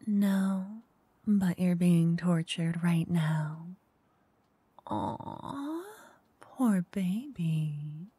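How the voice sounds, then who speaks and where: Slow, breathy, teasing whisper from a woman. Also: fairly low pitch, no echo. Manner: teasing, flirty, switching between whispers and soft speech. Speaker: ASMR roleplay performer as a dungeon master. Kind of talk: soft-spoken ASMR roleplay, whispering into each ear. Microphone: close binaural mic.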